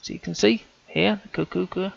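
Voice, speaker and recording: A man's voice: high-pitched, fairly even intonation, normal speed, creaky, no echo, highs cut off. A man talking through on-screen images, casual and hesitant, often restarting his sentences as he thinks aloud. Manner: hesitant, self-correcting, casual. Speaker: amateur researcher.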